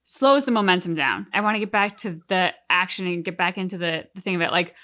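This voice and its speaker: A woman's voice: on the low side, fast talker, a bit bright. A woman co-hosting a reaction show, chatting casually and acting out her own reactions. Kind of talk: casual discussion of game cutscenes.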